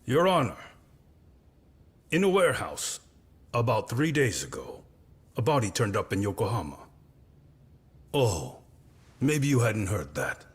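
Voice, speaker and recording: A man's voice: on the low side, big pitch swings, measured pace, pauses often, slightly rough, exaggerated voice. An actor playing a bitter, defiant grieving father on trial, with an American accent, in a video game dub. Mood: mocking.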